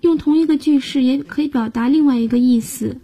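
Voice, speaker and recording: A woman's voice: high-pitched, fairly quick, mellow, slightly rough. A woman hosting a radio language lesson, patiently explaining grammar and guiding listeners step by step. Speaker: language teacher and host.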